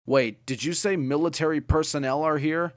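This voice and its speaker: A man's voice: on the high side, fairly even intonation, normal speed, dry recording, studio quality, lightly acted. A man playing an urgent breaking-news anchor in an amateur mock broadcast, with a formal announcer manner. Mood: concerned.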